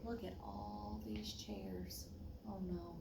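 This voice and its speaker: A woman reads a picture book aloud like a teacher, expressively and at an unhurried pace. Her voice is bright and lively, swinging widely in pitch, with asides to young listeners. The room sounds echoey. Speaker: fourth grade teacher. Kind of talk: animated read-aloud of a children's picture book. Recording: roomy, distant mic, faint noise.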